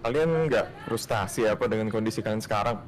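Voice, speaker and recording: Guy doing a calm know-it-all dragon god for minecraft roleplay, explains stuff bluntly, music under him. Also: lowish voice, fast talker, natural voice. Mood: challenging. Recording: music behind the voice.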